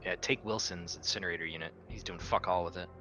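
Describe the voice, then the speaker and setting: Low, bright-edged man bantering at a game. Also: fairly even intonation, fast, faint music behind. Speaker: tabletop player acting as ship captain. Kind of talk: casual in-character game banter.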